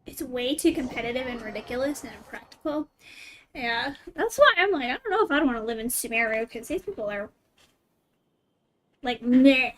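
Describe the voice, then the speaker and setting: A woman's voice: a little high, animated, normal speed, fairly bright tone, slight background noise. A woman streaming a game, chatting casually as she reacts to it. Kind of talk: casual gameplay reaction chat. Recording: game music behind the voice.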